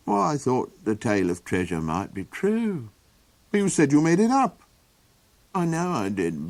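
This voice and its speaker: A man voicing an odd, forgetful little old man with a high, wondering, sing-song delivery.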